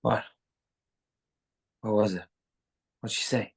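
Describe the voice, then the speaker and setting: A nervy, deferential male office director, acted by a man at a live script table read. He is husky and gravelly, stammering and halting his way along at a slow pace. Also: on the low side, steady tone, full character voice. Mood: anxious.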